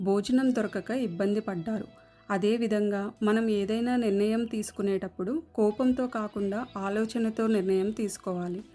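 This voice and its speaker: A woman's voice: medium pitch, dark tone. Woman narrating a story over music. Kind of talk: scripted moral-story narration. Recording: music under the voice.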